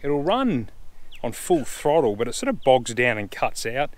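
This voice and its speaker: A man's voice: mid-range voice, big pitch swings, normal speed. A man in his thirties with an Australian accent, chatting bluntly and casually about gear to a camera he holds at arm's length outdoors in the bush. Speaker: camping vlogger. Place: outdoors in open bushland, and in the back of a truck canopy. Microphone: camera held at arm's length.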